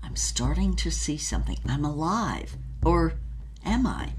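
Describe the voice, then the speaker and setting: A woman's voice: deep voice, very animated, unhurried, a little mellow, a little hiss or hum, full character voice. An actress playing a woman adventurer who recounts her past in a theatrical, emphatic audio drama manner. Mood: awed, dramatic.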